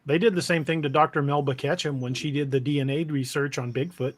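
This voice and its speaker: Higher-voiced man hosting a casual livestream. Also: steady tone, fast talker. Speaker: podcast host. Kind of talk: casual livestream talk, reading out viewer comments.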